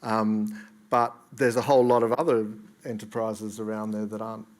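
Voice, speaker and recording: A man with an Australian accent moderating a panel discussion in a relaxed, casual way. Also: on the low side, moderate pace. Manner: relaxed, casual, steering questions. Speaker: panel facilitator.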